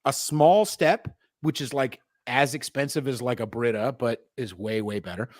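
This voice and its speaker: Male podcast host from New York, mid-pitched, blunt and profane, dry close mic. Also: moderate pace, no echo. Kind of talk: casual chat.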